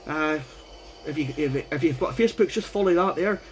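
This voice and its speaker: Male radio amateur, high-pitched, informal and chatty, slight hiss on the recording. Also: animated, fairly quick, low-quality recording. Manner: chatty, informal, repeats "you know what I mean". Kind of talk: casual radio contact chat.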